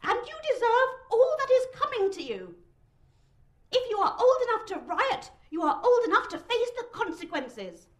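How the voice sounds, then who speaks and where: A woman voicing a proud Roman governor with a weedy, thin voice, shouting haltingly at a mob in a dramatic story reading. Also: very high-pitched, sing-song, echoey room, pitched above the performer's own voice. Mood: angry, threatening.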